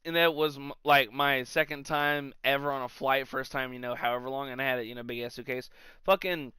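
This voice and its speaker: A man co-hosting a podcast, telling comic anecdotes in a casual, animated, sweary way. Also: medium pitch, a little fast, clear, resonant tone, no echo. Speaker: podcast co-host.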